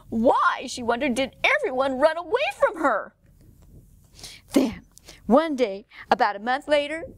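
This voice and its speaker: Expressive high-voiced woman reading a children's story. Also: no room sound. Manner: expressive, clearly paced for children. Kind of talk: read-aloud picture book narration. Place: indoor room, standing before a painted wall.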